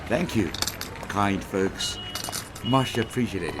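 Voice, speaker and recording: An actor playing a poor, one-legged Chinese railway laborer who now plays music on the street, speaking slowly and humbly. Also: male voice, on the low side, expressive, noisy background. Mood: weary. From China. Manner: slow, humble and sorrowful. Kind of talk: audio drama acting.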